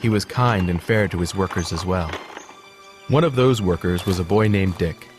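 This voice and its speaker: Guy as a gentle horse telling his life story, low measured voice, music under it. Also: close to an everyday voice. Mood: reflective. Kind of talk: scripted storybook narration.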